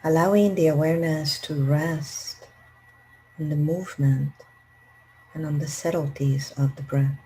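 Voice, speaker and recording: A woman leading a guided meditation in a calm, gentle, unhurried manner with long pauses. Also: deep voice, a little hiss or hum, rough recording. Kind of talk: guided meditation, slow spoken instructions.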